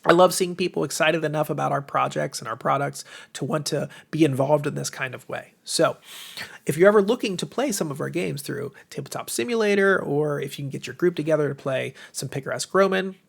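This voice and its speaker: An American man with a tired, slightly hoarse voice chats casually and enthusiastically as the host of a livestream, rambling informally to viewers. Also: on the high side, brisk. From United States. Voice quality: tired, strained voice. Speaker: livestream host, game publisher.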